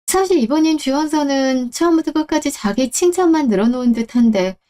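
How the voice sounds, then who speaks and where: Poised young woman boss, voiced by a woman in a radio drama: high voice, calm and cutting. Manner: cool, composed, pointed. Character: young woman co-CEO interviewing her ex-husband. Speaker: job interviewer. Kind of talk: Korean story radio drama.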